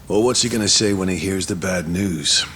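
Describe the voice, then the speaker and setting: A man's voice: deep voice, normal speed, fairly bright tone, a little hiss or hum. A man playing a stern but caring prison guard in a video game drama, admonishing and matter-of-fact. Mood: weary reproach.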